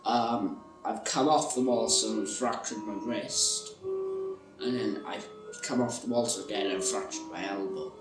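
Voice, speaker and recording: A young South Welsh man talks casually about his own life. His delivery is very unhurried. The voice sits back from the microphone in a reverberant space, with music playing underneath. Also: on the low side, wildly swooping pitch. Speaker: fairground ride worker. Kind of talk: casual personal account to camera. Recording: roomy, distant, music underneath.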